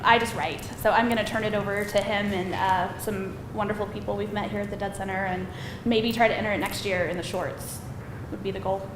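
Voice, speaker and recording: A woman writer giving casual, modest and somewhat hesitant answers on a panel. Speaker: screenwriter and novelist on a Q&A panel. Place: live film festival event room. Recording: echoey room with clear noise, rumble.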